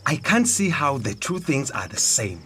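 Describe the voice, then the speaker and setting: An actor playing a stern, defensive middle-aged father in a radio drama, husky and firm. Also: mid-range voice, big pitch swings, moderate pace, pushed and bright, slight background noise.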